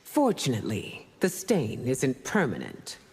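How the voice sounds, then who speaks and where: A woman's voice: a bass voice, huge pitch swings, measured pace, darkish tone, husky, full character voice. A woman playing a stern, dignified empress in a video game, speaking in a regal, formal manner. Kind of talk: video game fight banter. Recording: reverberant hall-like game sound.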